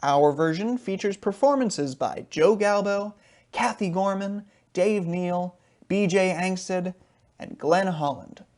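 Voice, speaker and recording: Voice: medium pitch, takes it slowly, darkish tone. An announcer reading a scripted introduction and credits in a formal presenting manner.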